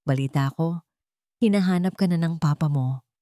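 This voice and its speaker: Babaeng gumaganap bilang mapagpakumbaba at nagsisising binata. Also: female voice, deep voice, normal speed, warm tone, dry recording, clean studio sound, lightly acted. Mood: gentle concern. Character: remorseful young man, humble and pleading, gently playful.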